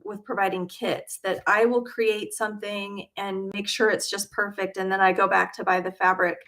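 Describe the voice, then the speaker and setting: Middle-aged woman chatting on a live stream from her home studio, lots of ums, mid pitch. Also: little pitch movement, normal speed, a bit bright. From Utah. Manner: chatty, with frequent ums and uhs. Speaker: quilting channel host. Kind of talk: casual livestream chat.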